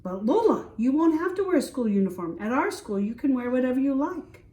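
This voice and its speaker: A woman's voice: high voice, big pitch swings, fairly quick, dark tone, pitched above the performer's own voice. A woman voicing a young boy, a patient big brother in a storybook, coaxing and explaining. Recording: roomy echo, distant from mic.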